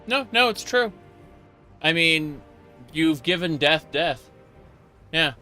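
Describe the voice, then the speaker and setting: A man's voice: fairly high pitch, expressive, slow, sharp tone. A man doing casual live gameplay commentary as a streamer, reacting off the cuff. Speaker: game streamer. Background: game music.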